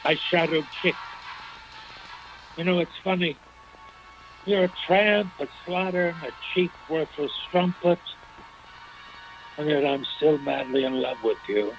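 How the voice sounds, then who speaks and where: An actor playing a fat, lovesick gangster villain in theatrical, menacing film-noir radio style, with a muffled sound. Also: male voice, a little high, unhurried, pauses often, soft background music. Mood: gloating. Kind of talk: hard-boiled film-noir radio acting.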